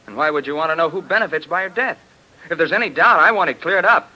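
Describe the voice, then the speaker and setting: An old radio recording of a firm, insistent brother in 1940s mystery style. He speaks quickly in a bright, forceful voice. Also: a little high, low-quality recording.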